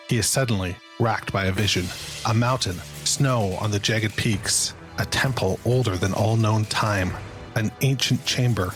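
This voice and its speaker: Guy reading screenplay narration, lowish voice, dramatic, faint music under it.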